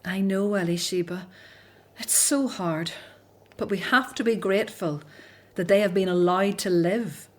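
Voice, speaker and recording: A woman's voice: mid-range voice, a little slow, lightly acted. An actress in a radio drama playing an outspoken, frustrated Hebrew daughter, earnest and pointed as she frets for her family.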